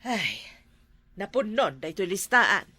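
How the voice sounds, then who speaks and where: A woman with an Ilocano accent playing a brisk, bossy village auntie in a comedy drama. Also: mid-range voice, very animated, sharp tone, breathy edge, heavily acted. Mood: brisk, reluctant.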